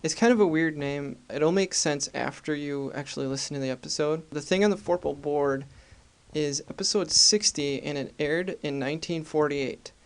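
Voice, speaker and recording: A man with a fairly high, clean voice hosts a casual podcast. He introduces the episode informally, with a joking, self-deprecating manner. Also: moderate pace. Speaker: podcast host.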